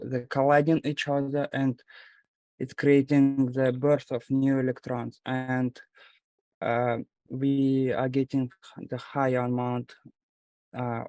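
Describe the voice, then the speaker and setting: A man from Kazakhstan with a Kazakh accent, speaking halting, non-native English as a startup founder answering questions about his pitch. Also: creaky, mellow, dry recording, rough recording. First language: not English.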